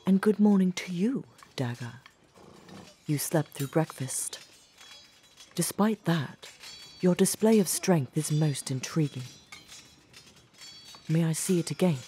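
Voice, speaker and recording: A woman playing a stern but caring commander of a knights' academy, speaking formally and with measured authority in a fantasy audio drama. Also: low voice, expressive, dark tone, slight background noise. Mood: composed, commanding. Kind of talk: scripted fantasy audio roleplay.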